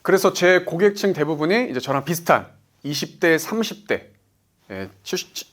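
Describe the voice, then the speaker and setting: A man's voice: fairly high pitch, big pitch swings, moderate pace, fairly bright tone. A man in his forties, an insurance sales director, giving a motivational business talk, stressing points and asking himself "why?" before he answers. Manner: emphatic, asks himself "why?" then answers.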